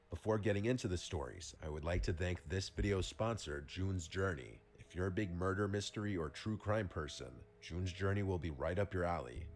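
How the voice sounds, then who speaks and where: Very deep-voiced male story narrator. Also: slight background noise. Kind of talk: scripted narration of first-person stories.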